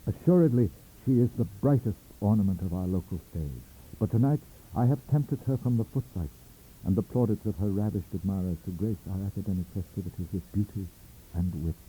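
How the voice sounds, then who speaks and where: A man's voice: deep voice, a little fast, mellow, natural voice, rough recording. A man voicing a flowery young student who poses as a Victorian dandy in an audiobook, speaking in a gallant, pompous manner. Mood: gallant, florid. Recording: faint hiss of old cassette audiobook.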